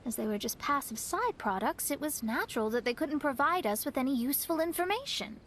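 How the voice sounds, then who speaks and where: A woman playing a proud, thoughtful young woman in a video game, speaking in deliberate, formal phrases. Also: high-pitched, animated, a bit bright, a little hiss or hum. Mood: pensive. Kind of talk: video game cutscene acting.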